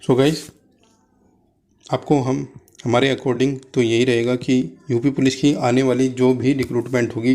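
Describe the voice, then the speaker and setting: A man's voice: mid-range voice, mellow. A man presents as an exam coach, explaining informally and step by step to students, mixing in English terms.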